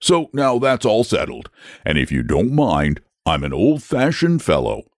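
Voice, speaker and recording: A man's voice: fairly low pitch, wildly swooping pitch, unhurried, no echo, studio quality, voice pushed up, exaggerated voice. Man voicing a genteel, fussy old-fashioned dragon. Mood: confiding, breezy. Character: big blue scaly dragon, genteel, artistic, old-fashioned, testy. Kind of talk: storybook character voice.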